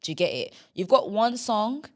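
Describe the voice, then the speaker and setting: A woman's voice: mid-range voice, big pitch swings, moderate pace. Young female podcast host. Chatty solo rant that leans on tag questions. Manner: chatty, tag questions after nearly every line.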